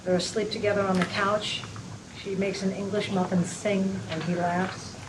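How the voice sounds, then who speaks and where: A woman's voice: medium pitch, flat delivery, moderate pace. A woman reading stage directions as scripted narration at a script table read. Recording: distant, noisy rehearsal room recording.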